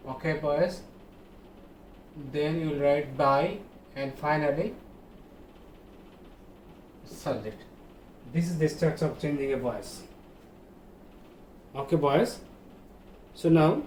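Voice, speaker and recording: Male schoolteacher, Bangladeshi accent, slightly high pitch, distant mic, explains grammar step by step. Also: darkish tone. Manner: deliberate, repetitive, checking in with pupils. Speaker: teacher. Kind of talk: classroom grammar lesson. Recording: echoey, distant mic, noisy room.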